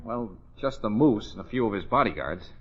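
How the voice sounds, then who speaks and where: An actor playing a wisecracking, anxious private investigator in old radio comedy-drama style, wry, nervous and hesitant. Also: male voice, lowish voice, lively intonation, fairly quick, breathy edge. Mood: resigned. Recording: dull, band-limited old radio recording.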